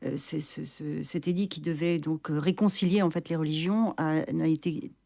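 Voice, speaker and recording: Low-pitched woman explaining on radio. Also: steady tone, moderate pace, vocal fry, mellow. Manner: explains from notes with frequent hesitations. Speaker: radio co-presenter. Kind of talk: casual radio chat.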